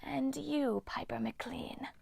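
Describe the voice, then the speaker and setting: A woman voicing a bitter, ancient female water nymph in a slow, hissing whisper. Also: high voice, creaky, a little mellow, dry recording, clean studio sound, voice pushed up, heavily acted. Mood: menacing.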